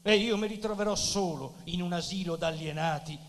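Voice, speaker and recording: Stage drama: man playing grandiose, melancholy old sage and asylum inmate, raised pitch, archaic declamation, big echoing hall. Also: normal speed, lightly acted. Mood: earnest, plaintive. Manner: declamatory, archaic, solemn phrasing. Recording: reverberant theatre-like hall sound.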